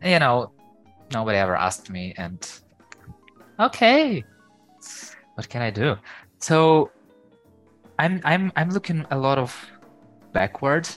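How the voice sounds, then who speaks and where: A man with a Ukrainian accent, a guest on an interview podcast, talking in a lively, hesitant way full of fillers. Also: medium pitch, takes it slowly, pauses often, faint music behind. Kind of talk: casual interview chat.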